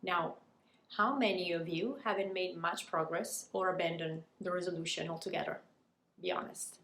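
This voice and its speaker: A woman's voice: fairly low pitch, steady tone, moderate pace, a bit bright. Italian woman coaching in an echoey room. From Italy. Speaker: language coach hosting a Q and A. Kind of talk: prepared educational talk to viewers. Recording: echoey room with faint noise.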